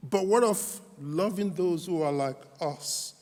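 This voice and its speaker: A man's voice: high-pitched, measured pace, darkish tone. A middle-aged man with a Nigerian accent with some London in it, a Baptist minister giving a conference talk, with many fillers and restarts. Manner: many fillers, repeats, quotes scripture. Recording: reverberant hall.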